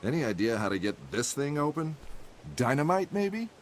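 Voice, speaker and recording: A man's voice: on the high side, very animated, moderate pace. A man playing a brash, wisecracking treasure hunter in a video game, with a flippant, wry manner. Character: wisecracking, brash male treasure-hunting adventurer. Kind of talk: video game cutscene dialogue and narration. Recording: loud music over the voice.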